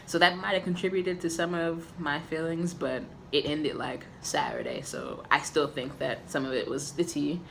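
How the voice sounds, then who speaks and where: A man giving a candid, casual personal update to camera, with a raspy voice and frequent filler ums. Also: on the high side, lively intonation, moderate pace, few pauses, pushed and bright, a little hiss or hum. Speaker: vlogger.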